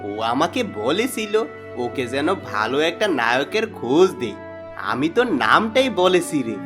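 A man playing a sly, greedy, boastful village swindler in a cartoon moral story, with an animated, coaxing manner. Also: a little high, normal speed. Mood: coaxing flattery. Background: background music.

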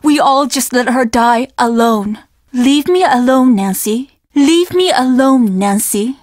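An actress playing a stern young innkeeper's daughter in a video game, speaking in a measured, formal and easily offended way. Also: female voice, high voice, a little mellow, dry recording, studio quality. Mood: bitter, grieving. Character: guarded, stern young Japanese innkeeper's daughter, polite but curt. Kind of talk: video game character dialogue.

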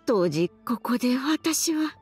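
A woman voicing a frail, gentle old grandmother in a video game, with apologetic, old-fashioned elderly phrasing. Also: on the high side, expressive, takes it slowly, breathy edge, soft background music, heavily acted. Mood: wistful recollection. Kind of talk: video game dub acting.

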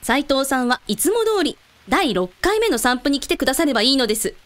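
Actress as breezy, confident woman, high and animated. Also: brisk. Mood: assured, persuasive. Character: breezy, confident, teasing woman taking charge. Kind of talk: radio drama acting.

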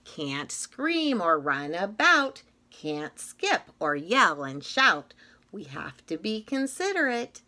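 Slow, very animated woman reading aloud. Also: on the low side. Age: middle-aged. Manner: expressive, sing-song, rhythmic, drawn-out phrases. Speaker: teacher reading a picture book aloud. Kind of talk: read-aloud of a children's story. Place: small indoor classroom-style room.

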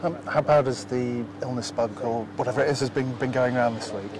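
A man's voice: medium pitch, fairly even intonation, fast, a crowd in the background. A man interviewing a footballer outdoors at a ground at night, asking friendly, hesitant questions. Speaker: club media interviewer. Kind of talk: post-match interview questions. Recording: outdoor ambience with clear background noise.

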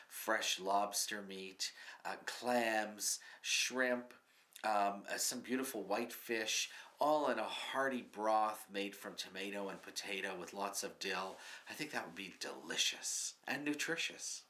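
A man in his fifties who answers earnestly and matter-of-factly, filling pauses with ums. His voice sits a little low but sounds bright and forward. He is seated in a small studio. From Canada. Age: 50s. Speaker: comedy writer, guest. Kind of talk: mock interview, plain answers. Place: small studio with curtain backdrop.

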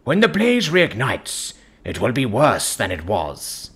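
A man's voice: mid-range voice, wildly swooping pitch, a bit bright, breathy edge, exaggerated voice. Casting: serene, devout male adviser, played by a narrator man, earnest and firm, widely swooping intonation, audiobook fiction. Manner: measured, earnest, preaching in metaphors. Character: calm, devout male counsellor, gentle but firm.

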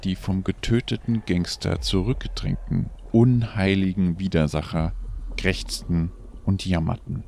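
A man's voice: low-pitched, fairly even intonation, unhurried, vocal fry, a little mellow, full character voice. A man playing the ominous storyteller of a pulp crime tale in a scripted German audio drama. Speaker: narrator.

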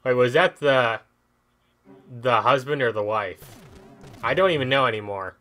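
A man doing casual gameplay commentary as a video host, rambling and thinking out loud as he reacts. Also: mid-range voice, measured pace.

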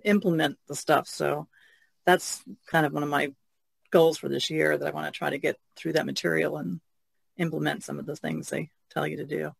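A woman's voice: sits low, gravelly, dry recording. A woman talking casually as an artist on a podcast panel. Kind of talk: casual chat.

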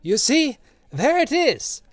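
A man's voice: sits high, very animated, normal speed, dry recording, clean studio sound, pitched above the performer's own voice. A man voicing a proud, impoverished old man who speaks in impassioned, formal protest. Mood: frantic, shouting.

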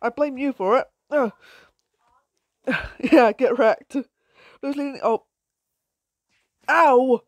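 A woman with a croaky voice chats her way through an online game, laughing at her own moves and shouting excitedly. Her voice sits a little high, and she talks at an unhurried pace. The sound is dry and close to the mic. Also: no room sound. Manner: giggly, excitable, frequent laughs and exclamations. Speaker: gameplay commentator. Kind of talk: casual gameplay commentary.

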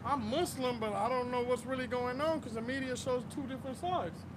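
A man from Los Angeles with a very high voice stops on a city street to ask probing questions that rise at the end. Loud traffic noise surrounds him. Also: steady tone, brisk. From Los Angeles, United States. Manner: curious, probing, rising questions. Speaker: passerby asking questions.

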